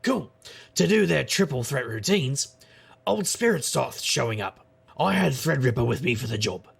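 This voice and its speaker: An actor playing a jaded, wisecracking paranormal investigator, narrating an audio drama in a wry, rambling, noir style. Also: male voice, mid-range voice, lively intonation, moderate pace, full character voice. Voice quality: gravelly. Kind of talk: scripted noir-style first-person narration.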